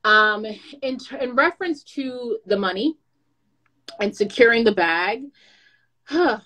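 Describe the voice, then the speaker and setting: A woman's voice: on the high side, takes it slowly, poor audio. Chatty woman giving creator advice. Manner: lively, chatty, with playful asides. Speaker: content creator and brand manager. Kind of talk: casual advice talk.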